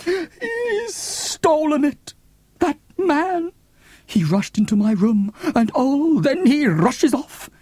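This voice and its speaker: A man's voice: very high-pitched, big pitch swings, slow pace, a little mellow, no echo, pitched above the performer's own voice, heavily acted. A man voicing an overwrought, theatrical woman in a dramatised children's audiobook. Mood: distraught. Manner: melodramatic, exclaiming, repeats words. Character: overwrought, theatrical woman, Cressida, in breathless distress.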